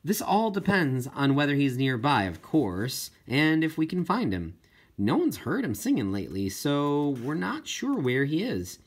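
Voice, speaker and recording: An audiobook reader voicing a friendly, encouraging female scientist reading out her letter in a measured, informal way. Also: mid-range voice, wildly swooping pitch, husky, full character voice.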